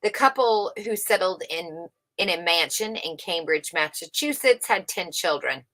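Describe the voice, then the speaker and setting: A woman's voice: lowish voice, expressive, a little slow, bright, forceful tone. A woman livestream host reading a book aloud unrehearsed, informally, stumbling over words and correcting herself as she adds casual commentary.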